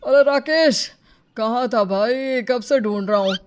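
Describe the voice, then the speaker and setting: A woman's voice: a little high, measured pace, a little hiss or hum, close to an everyday voice. A woman voicing a pushy, pleading male neighbour in a cartoon story, talking in a wheedling, colloquial way. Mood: chummy. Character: pleading, pushy middle-class male neighbour seeking a loan. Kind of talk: cartoon story character acting.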